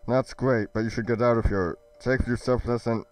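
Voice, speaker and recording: Man playing a clear-voiced commanding hero. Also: medium pitch, fairly even intonation, normal speed, distant mic, faint music behind, close to an everyday voice, poor audio.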